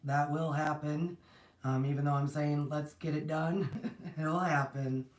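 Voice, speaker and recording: Slow-talking woman with strained voice, echoey. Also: deep voice, fairly even intonation. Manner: rambling, warm greetings to viewers, many restarts. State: strained, partly lost voice. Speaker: host of a spiritual platform. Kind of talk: casual livestream talk. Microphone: distant mic, no proper setup. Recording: echoey room, voice from far off.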